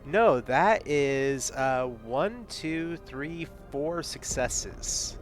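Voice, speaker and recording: A man's voice: on the high side, lively intonation, drawn-out words, music in the background. Chatty man thinking aloud over dice. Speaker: player. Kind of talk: casual game talk.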